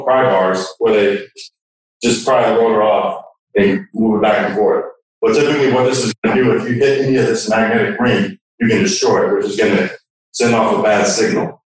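A man giving a conversational, hands-on technical demonstration, recorded in an echoey room. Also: lowish voice. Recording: echoey room, distant microphone.

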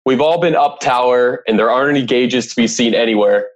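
A man's voice: mid-range voice, fairly quick, lo-fi sound. A man hosting a podcast interview, asking questions in a polite, encouraging way. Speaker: podcast host.

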